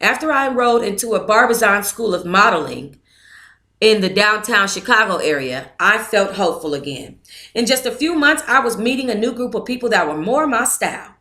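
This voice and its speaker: A woman's voice: mid-range voice, lively intonation, brisk, sharp tone. A woman hosting a book club reads a memoir aloud in the first person and stops now and then to thank listeners and comment. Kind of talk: reading a memoir aloud with asides.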